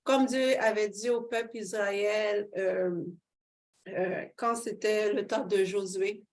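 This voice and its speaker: A woman's voice: mid-range voice, slow. A middle-aged woman, giving a fervent personal faith testimony as an interview guest, with many fillers. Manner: fervent, expressive, with frequent fillers and laughs.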